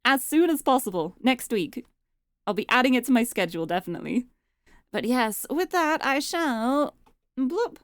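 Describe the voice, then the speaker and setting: A young woman streamer chatting casually and cheerfully, her voice getting a little hoarse and tired. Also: high voice, sing-song, normal speed, dry recording. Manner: bubbly, chatty, restarts words often. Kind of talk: casual live-stream chat. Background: background game music.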